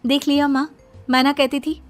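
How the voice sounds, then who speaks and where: A woman's voice: high voice, level tone, moderate pace, soft background music, lightly acted. A woman voicing a meddling grown daughter in a moral story, needling and goading her mother insistently. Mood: teasing. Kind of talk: Hindi moral story acting.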